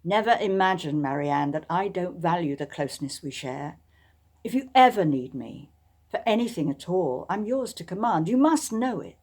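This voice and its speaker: Woman reading as earnest, devoted young Regency gentleman. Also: on the low side, lively intonation, normal speed. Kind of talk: dramatic romance audiobook reading.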